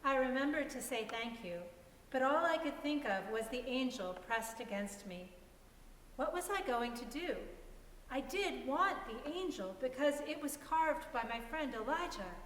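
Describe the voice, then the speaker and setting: Higher-voiced woman reading in echoey hall. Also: lively intonation, a little slow, fairly bright tone. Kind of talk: dramatic story reading. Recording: echoey hall with faint hiss.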